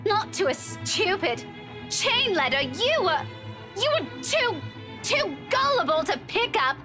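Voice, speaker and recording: A frightened young woman in a visual novel. Her voice is extremely high and swings widely as she lays blame in an agitated, emphatic way. Music plays clearly behind her. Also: sing-song, slow, full character voice. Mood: angry, panicked. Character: frightened, accusing young woman in a mystery game. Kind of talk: dramatic visual novel voice acting. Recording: music under the voice.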